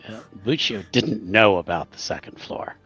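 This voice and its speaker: A man's voice: on the low side, expressive, normal speed, bright, forceful tone. A man casually explaining his character's actions as a tabletop game player, on a slightly dull microphone.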